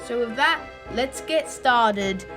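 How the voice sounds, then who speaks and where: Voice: mid-range voice, expressive, takes it slowly, music in the background. A video host giving informal, opinionated commentary in a casual style.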